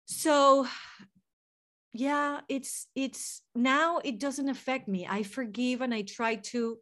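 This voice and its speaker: A woman from Venezuela with a Spanish-tinged accent speaks in a slightly high voice. She is a therapist and guest on a podcast. She explains herself earnestly and reflectively, in long unfolding sentences. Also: fairly even intonation, slow pace, a bit bright. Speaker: guest, licensed mental health therapist. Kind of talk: podcast interview.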